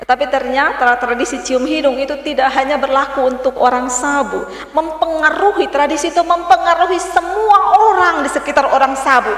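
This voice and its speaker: A woman pastor with a Kupang accent preaching a sermon in a conversational way, her pitch and loudness often dropping and rising again. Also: brisk. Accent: Kupang Indonesian. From Rote, East Nusa Tenggara, Indonesia. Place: church. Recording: echoey church hall, mic far off.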